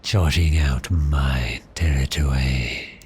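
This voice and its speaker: Guy doing a super deep seductive vampire for asmr roleplay, really slow n deliberate, teasing and menacing, breathy phrase endings. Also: darkish tone, slight background noise. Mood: smooth menace. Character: suave, predatory male vampire, cheerfully menacing and teasing. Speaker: audio roleplay performer.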